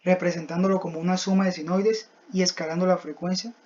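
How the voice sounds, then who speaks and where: A young student presents a technical class project with a mid-pitched voice. The delivery is didactic and halting, moving step by step through prepared material. The recording is dull and lo-fi. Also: little pitch movement, a little mellow. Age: young adult.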